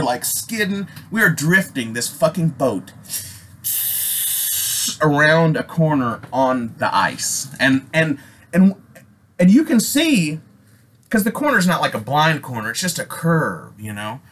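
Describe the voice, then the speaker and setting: A man from Alaska with an American accent telling a personal story casually, in an animated, rambling, slangy way full of swearing. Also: a little high, slightly rough. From Alaska, United States. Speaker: storyteller, host. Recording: noisy amateur recording with ambient sound.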